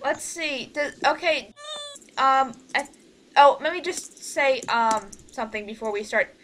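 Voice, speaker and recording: A woman leading an online meeting as producer, talking casually in a halting, rambling, informal way. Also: sits high, a bit bright, a little hiss or hum. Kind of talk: casual group meeting talk. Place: online group voice call.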